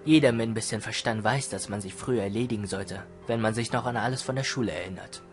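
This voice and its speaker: A man's voice: fairly low pitch, quick pace, natural voice. A man playing a smug, grumpy older teenage boy in an audio drama, with a curt, teasing, dismissive manner. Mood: grumbling. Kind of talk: fan audio drama dialogue in German. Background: music.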